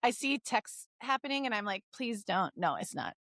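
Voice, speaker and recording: A woman's voice: fairly high pitch, big pitch swings, normal speed, a bit bright, no echo, clean studio sound. A woman co-hosting a comedy podcast, chatting casually and reading fan fiction aloud in a playful, bawdy, giggly way. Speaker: podcast co-host.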